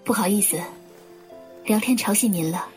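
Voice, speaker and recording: Woman acting a composed young lady, medium-pitched. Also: normal speed, darkish tone, soft background music, natural voice. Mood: polite. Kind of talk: audio drama acting in Mandarin.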